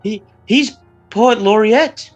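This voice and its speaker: A woman's voice: on the high side, slow pace, lo-fi sound, soft background music. A woman playing a friendly, slightly drunk forty-year-old man, a small-town mayor who stammers and rambles in a screenplay table read. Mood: proud, friendly.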